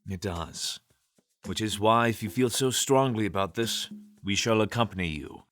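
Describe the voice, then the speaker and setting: A man playing a formal, reasonable adventurer with measured wording in a fantasy audio drama. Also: on the low side, fairly bright tone, soft background music, natural voice. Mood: thoughtful, assured.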